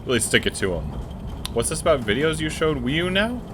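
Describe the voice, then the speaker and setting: A man's voice: a little high, very animated, brisk, noisy background. A man giving casual, reactive commentary while playing a game, with wry, mock-aggrieved asides. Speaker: game streamer, let's play commentator.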